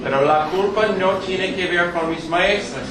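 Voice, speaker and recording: Man, American English accent, native English speaker, halting with fillers, slightly high voice, noisy recording. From New York, United States. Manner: halting, many fillers, joking asides. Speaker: guest lecturer. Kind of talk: public lecture with jokes. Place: lecture hall. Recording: echoey hall, distant mic, background noise.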